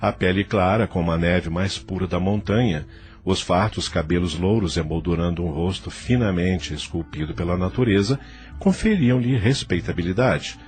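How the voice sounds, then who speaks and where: A man's voice: deep voice, normal speed, darkish tone, soft background music. A man with a Brazilian Portuguese accent narrating an audio novel in a theatrical, literary storytelling manner. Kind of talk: dramatized scripted narration of a novel.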